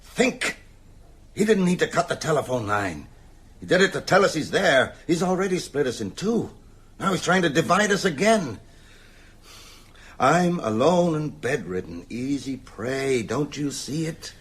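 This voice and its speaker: A man's voice: fairly high pitch, very animated, a little slow, a bit bright, husky, heavily acted. An actor playing a bedridden, shrewd man who urges on vampire hunters with emphatic, oratorical speech in a radio drama. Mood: urgent pleading. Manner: emphatic, rhetorical, oratorical delivery. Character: bedridden male vampire hunter, shrewd, commanding, urgent strategist.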